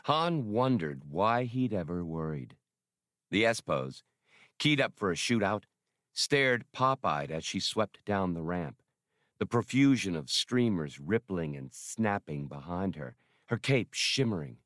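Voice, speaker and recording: A man's voice: sits low, very animated, a little slow, fairly bright tone, dry recording, studio quality. A man reading a novel aloud as an audiobook narrator, in a scripted storytelling style.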